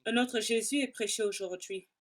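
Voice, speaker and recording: A woman with a somewhat high voice delivers a sermon as a voice-over translation. Her reading is earnest and emphatic, pressing each point the way a preacher would. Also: normal speed. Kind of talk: sermon dubbed and read in French.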